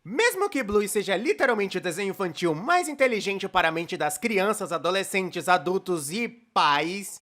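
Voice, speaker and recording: A man's voice: sits high, bright, forceful tone, heavily acted. A man with a Brazilian accent dubbing a casual, wry young storyteller in scripted video commentary. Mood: casual, sincere. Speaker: dubbed narrator of an animated opinion video.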